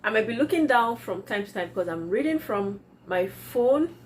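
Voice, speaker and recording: A woman's voice: on the high side, lively intonation, moderate pace, slight background noise. Chatty Nigerian woman vlogger, laughing often. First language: Yoruba. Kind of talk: casual vlog chat, reading viewer comments aloud.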